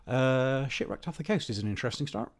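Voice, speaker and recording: A slightly hoarse man giving rambling, wry, opinionated commentary while playing a game. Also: medium pitch, animated, fairly quick. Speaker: gaming host. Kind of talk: casual gameplay commentary.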